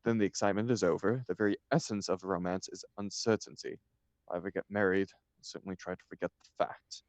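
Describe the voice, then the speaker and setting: A man's voice: low-pitched, fairly even intonation, fast, no echo, natural voice. A man reading the part of a flippant, idle upper-class bachelor in a stage comedy, with an arch, mock-serious declamatory manner.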